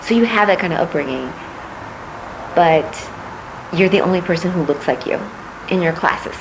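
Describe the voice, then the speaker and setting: A middle-aged woman from Puerto Rico, raised in Missouri, answering interview questions as a political candidate, measured and reflective with frequent pauses. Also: on the low side, noisy background.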